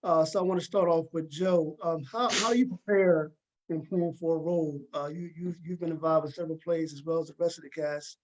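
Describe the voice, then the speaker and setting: High-pitched male talk show host. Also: steady tone, fairly quick, a little mellow, lo-fi sound. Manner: informal, repeats phrases. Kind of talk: casual interviewing.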